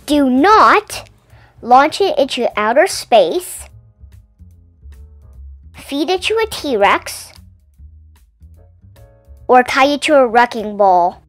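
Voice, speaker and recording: A woman hosting her own channel, reading a children's picture book aloud with gentle, clear enunciation and playful emphasis. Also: sits high, very animated, extremely slow, long pauses, no room sound, soft background music. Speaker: storybook reader and channel host.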